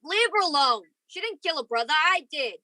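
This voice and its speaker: A woman's voice: sits high, lively intonation, brisk, pushed and bright, dry recording. A woman playing a hot-tempered, protective lover in a radio crime drama. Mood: protective, combative. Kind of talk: old-time radio crime drama acting.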